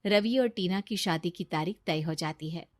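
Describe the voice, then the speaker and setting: A woman's voice: on the low side, moderate pace, no room sound, studio quality. A woman reading scripted narration for a Hindi story as its narrator.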